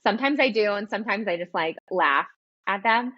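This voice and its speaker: A woman's voice: medium pitch, fairly quick, no echo, dull audio. Woman with a girly voice and slight lisp. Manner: animated, laughs while talking. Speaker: podcast host and business coach. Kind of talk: casual interview chat.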